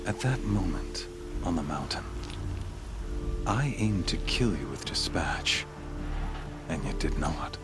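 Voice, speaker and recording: An actor playing a fanatical, pompous villain zealot of the sun, giving a grand, deliberate speech in a video game. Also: male voice, rumbling low, big pitch swings, full character voice. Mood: grandiose menace. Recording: loud music underneath. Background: game music.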